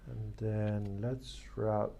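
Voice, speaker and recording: Slow-talking man with a gravelly, creaky voice. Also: lowish voice, flat delivery. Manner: thinking aloud while working. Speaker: product reviewer. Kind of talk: casual hands-on unboxing and installation talk. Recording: faint background noise.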